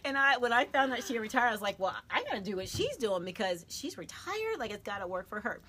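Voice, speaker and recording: Woman host, bright voice, chatty and laughing, faint background hiss. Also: on the high side, very animated, quick pace. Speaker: podcast host. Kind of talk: casual interview chat.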